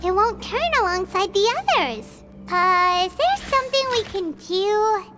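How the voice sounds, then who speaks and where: A woman voicing a small, childlike fairy companion in an English game dub, expressive and earnest. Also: very high-pitched, measured pace. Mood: curious. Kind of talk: English dub of anime-style game cutscene.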